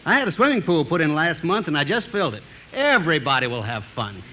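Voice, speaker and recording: An actor playing a cocky, easygoing musician and pool shark in a 1950s radio comedy. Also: male voice, fairly high pitch, big pitch swings, fast talker, bright, forceful tone. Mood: cocky, matter-of-fact. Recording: noisy 1950s radio recording.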